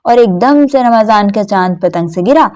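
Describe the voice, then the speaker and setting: A woman's voice: medium pitch, expressive, warm tone, lo-fi sound. A woman storyteller reading scripted narration of a story for children.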